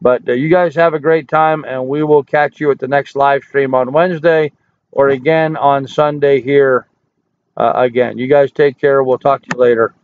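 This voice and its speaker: An older man hosting a casual live stream, hoarse from hours of talk, folksy and rambling as he answers chat comments. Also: medium pitch, steady tone, moderate pace, no echo. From United States. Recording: dry, tinny phone-like audio.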